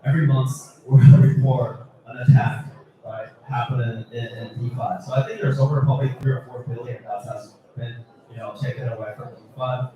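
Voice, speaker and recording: A man from Taiwan speaking as a panelist in an unscripted discussion, halting, repeating words and often saying "you know". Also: medium pitch, steady tone, dark tone. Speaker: panelist, crypto video creator. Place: event hall panel with audience. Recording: very echoey hall, distant mic, crowd murmur.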